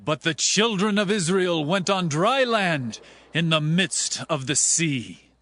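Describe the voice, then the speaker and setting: An actor playing a reverent, exultant Hebrew leader, declaiming praise in drawn-out phrases in a dramatized scripture reading. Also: male voice, a little high, expressive, a bit bright. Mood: solemn, triumphant. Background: prominent music.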